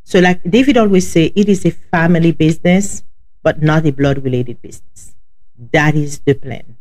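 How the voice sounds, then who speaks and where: A woman with a heavy Haitian accent hosts a casual podcast, speaking to her listeners directly. Her voice sits slightly low, and she talks in a chatty, informal way. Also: normal speed, darkish tone. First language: Haitian Creole. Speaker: podcast host.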